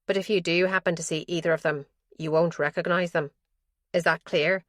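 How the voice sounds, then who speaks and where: A cold, controlling police chief from an audiobook thriller, hard and commanding, giving orders slowly, as a woman reads her. Her tone sits low and the sound is dry. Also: fairly even intonation, dry recording, natural voice.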